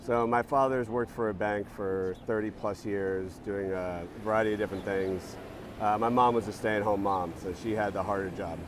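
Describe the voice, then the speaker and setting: A startup founder answering interview questions in a relaxed, thoughtful way, with many ums and uhs. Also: male voice, lowish voice, moderate pace, background noise. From born in Panama, raised in New Jersey.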